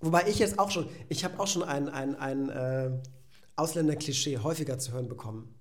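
A man from Belgium co-hosting a casual podcast chat, talking in an animated, ironic way and getting loud when he rants. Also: medium pitch, a little fast. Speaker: podcast co-host. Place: echoey room. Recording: roomy echo.

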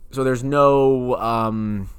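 A man co-hosting a podcast, talking casually and asking sly, mock-serious questions in a halting, repetitive way. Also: mid-range voice, steady tone, smooth, no room sound. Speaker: podcast co-host.

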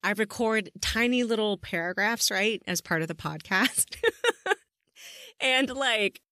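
A woman's voice: mid-range voice, expressive, takes it slowly, pushed and bright, no echo. Effusive woman podcast host, dry sound. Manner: effusive, supportive, informal. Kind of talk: casual interview chat.

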